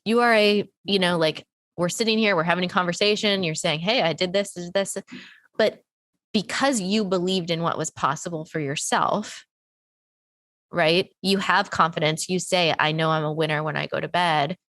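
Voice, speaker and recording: Woman podcast host, kinda low voice, sniffly with a cold, earnest and encouraging, dry close sound. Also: moderate pace, a bit bright, no echo. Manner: earnest and encouraging, long winding sentences. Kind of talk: casual interview chat.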